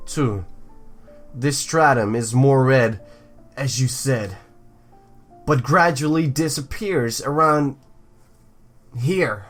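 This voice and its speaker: Guy doing a serious student dude from a dating game, short and matter of fact, soft music behind. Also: medium pitch, slow, long pauses. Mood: serious, terse. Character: serious, no-nonsense young male French student.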